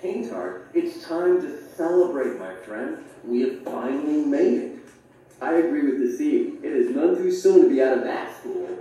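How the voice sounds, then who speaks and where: A man's voice: a little high, expressive, normal speed, mellow, highs cut off, slight background noise, natural voice. An actor playing a cocky, carefree young male graduate in a theatrical audio drama, with breezy, formal phrasing. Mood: cheerful. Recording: drama playback in echoey room, distant.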